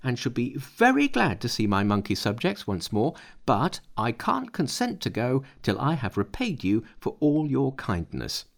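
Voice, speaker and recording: A man voicing an eager, clever male monkey disciple in a storybook reading, earnest and excitable. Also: moderate pace, vocal fry, hardly any pauses. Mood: polite. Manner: earnest, excitable, high and bright.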